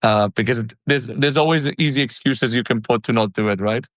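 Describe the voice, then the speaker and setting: A male podcast host keeps the talk casual and friendly, his phrases tumbling and restarting. His voice sits at an ordinary middle pitch in a dry, close recording. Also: a little fast, dry recording. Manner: chatty, friendly, stumbling restarts.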